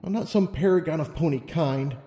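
A man's voice: fairly high pitch, fairly quick, mellow, echoey room, long reverb tail, voice pushed up, lightly acted. A man voicing an anxious, self-doubting young unicorn mare in a storybook reading, earnest and hesitant. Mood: anguished doubt. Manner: hesitant, stammering protests. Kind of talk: audiobook character reading.

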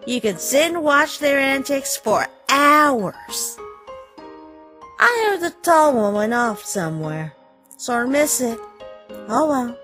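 A woman voicing a friendly, motherly rabbit shopkeeper, chatty and welcoming, in an animated comic dub. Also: sits high, takes it slowly, faint music behind, exaggerated voice. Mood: chatty, reassuring.

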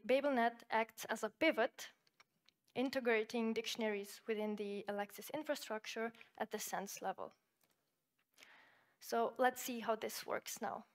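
A woman whose first language is Slovenian, giving an academic conference talk and walking through a software demo in a measured, explanatory way with frequent fillers. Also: on the high side. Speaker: conference presenter.

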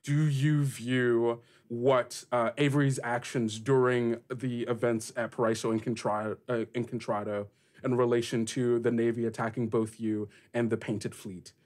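A man with a mid-pitched voice runs a tabletop role-playing game. He narrates scenes and puts questions to the players. His phrasing is deliberate and halting, as he feels out each piece of the sentence. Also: flat delivery, a little mellow. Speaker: game master.

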